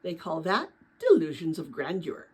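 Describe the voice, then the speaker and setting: Expressive woman reading aloud, slightly husky. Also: low voice, normal speed. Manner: expressive, chatty when commenting to listeners. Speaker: read-aloud narrator. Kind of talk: dramatic children's book read-aloud with casual asides.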